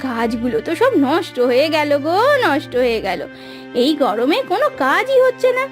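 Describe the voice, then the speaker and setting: Woman acting a very high-pitched, weary village housemaid. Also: sing-song. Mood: plaintive. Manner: plaintive, complaining, drawn-out lamenting. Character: weary young village housemaid and wife, plaintive. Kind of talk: cartoon moral story acting. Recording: dry studio voice over background music.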